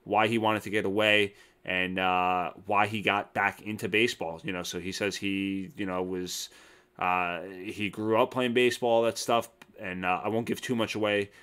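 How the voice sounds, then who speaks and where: A man from New Jersey hosting a casual interview podcast, relaxed and full of fillers, talking over a remote call. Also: lowish voice, normal speed, clear, resonant tone. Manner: relaxed, filler-heavy talk. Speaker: podcast host.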